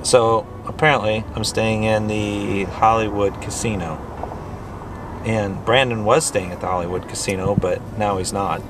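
A man's voice: lowish voice, steady tone, normal speed, background noise. A middle-aged man chatting casually on a road trip vlog from inside a car, teasing his friend and laughing often. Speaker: vlogger. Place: inside a car, partly outdoors by a highway.

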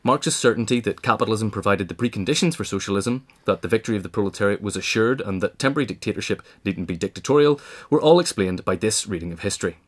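A man's voice: fairly low pitch, fast talker. A man reading scripted nonfiction narration as an audiobook reader. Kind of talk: scripted narration of a political history essay.

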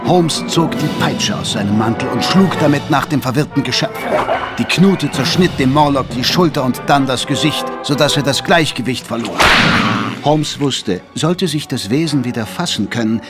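A man's voice: mid-range voice, lively intonation, normal speed. A man narrating an audio drama in a dramatic, scripted storytelling style. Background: dramatic music.

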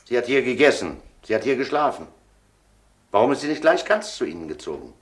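An actor playing a persistent police inspector in a crime radio drama, putting terse, probing questions. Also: male voice, medium pitch, very animated, brisk, lightly acted. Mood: pressing. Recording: faintly noisy old radio drama recording.

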